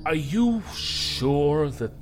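An actor playing a guarded, weary special agent in a science fiction audio drama, terse and dry in manner. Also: male voice, fairly high pitch, sing-song, very slow, soft background music, exaggerated voice. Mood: uneasy.

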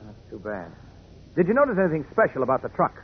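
An actor playing a brisk, confident newspaper reporter who questions and commands, in 1940s radio style. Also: male voice, fairly high pitch, wildly swooping pitch, husky, full character voice. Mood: commanding. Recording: noisy, muffled old radio recording.

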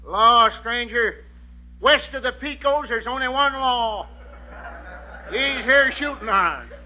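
A man's voice: very high-pitched, slow, lo-fi sound, a little hiss or hum. A man playing an ornery, forgetful old gunslinger with a folksy American western drawl in a 1940s radio comedy. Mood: threatening, stubborn.

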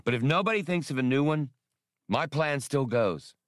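A man's voice: mid-range voice, expressive, normal speed, dry recording, voice pushed up, lightly acted. A man voicing a cocky, impatient starship smuggler captain in an audiobook. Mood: brash. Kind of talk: audiobook character voice.

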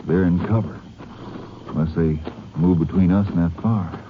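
A man's voice: low voice, moderate pace, lightly acted. An actor playing a wary, plain-spoken American lawman on the trail, in a folksy, laconic radio western style. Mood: cautious. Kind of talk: 1950s American radio drama acting. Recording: muffled, noisy early-1950s radio recording.

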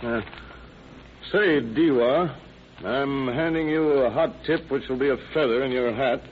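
An actor playing a plain-spoken, dutiful deputy sheriff in an old-time radio crime drama. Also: male voice, mid-range voice, a little slow, close to an everyday voice. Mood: matter-of-fact. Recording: tinny, noisy old radio recording.

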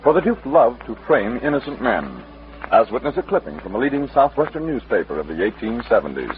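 A man's voice: mid-range voice, big pitch swings, brisk, slight background noise. A man announcing and narrating an old radio western in a dramatic, scripted style. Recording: tinny, narrow old radio recording.

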